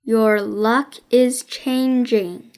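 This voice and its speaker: A woman voicing a serious, self-important boy detective in a deadpan, deliberate manner for a children's story. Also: medium pitch, clean tone, pitched below the performer's own voice.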